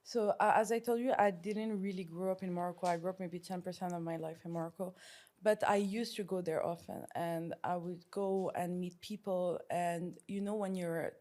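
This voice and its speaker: A woman's voice: on the low side, steady tone, moderate pace, warm tone. A woman founder answering interview questions in a casual, thoughtful way, with frequent fillers and restarts. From France. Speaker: startup founder.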